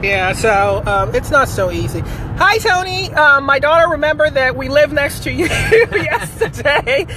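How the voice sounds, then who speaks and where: A woman in her thirties chatting casually in a family vlog, talkative and quick to laugh. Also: high voice, very animated, crowd noise. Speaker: family vlogger. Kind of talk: casual chat. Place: inside a moving car. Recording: car road noise behind.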